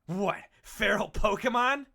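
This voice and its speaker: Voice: medium pitch, a little slow, gravelly, sharp tone, full character voice. A voice actor playing a brash, cynical veteran Pokemon explorer in a comic dub, talking in a cocky, slangy, needling way. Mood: scornful. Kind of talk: animated comic dub acting.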